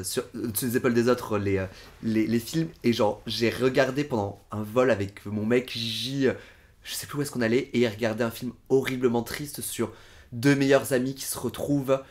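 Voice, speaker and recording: A man in his thirties co-hosting a podcast in a casual chat, playful, asking quick questions and making puns. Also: mid-range voice. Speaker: podcast co-host.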